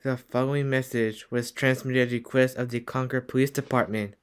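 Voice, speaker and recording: A man's voice: medium pitch, fairly even intonation, brisk, slight background noise, lightly acted. A man playing an official emergency alert announcer, reading a formal scripted warning. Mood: urgent. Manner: formal, listing.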